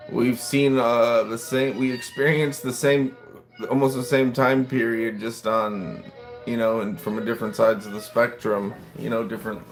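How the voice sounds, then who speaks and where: A man's voice: mid-range voice, little pitch movement, moderate pace. A man in his late thirties, chatting casually and rambling on a livestream while he waits for food in a restaurant, reacting to what viewers write. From United States. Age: late 30s. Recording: faint classical music behind.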